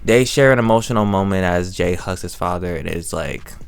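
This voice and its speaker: A man with a deep voice and a heavy creak runs through a casual recap as a review host. He talks in an emotive, slangy way and circles back to repeat phrases for emphasis. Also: brisk, soft background music. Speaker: host reviewing an audio drama. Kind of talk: casual, rambling recap and reaction.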